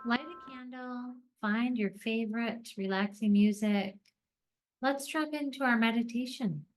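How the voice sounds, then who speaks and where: Slow-paced woman guiding a meditation. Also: a little high, a little hiss or hum. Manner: gentle, deliberate phrasing with long pauses. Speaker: meditation guide. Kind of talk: guided meditation narration.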